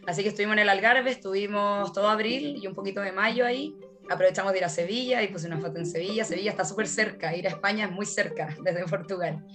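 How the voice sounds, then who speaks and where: A woman's voice: mid-range voice, fast talker, over music. A woman from Chile with a Chilean Spanish accent, chatting as an interview guest and telling her story with many fillers and laughs. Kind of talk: casual storytelling conversation.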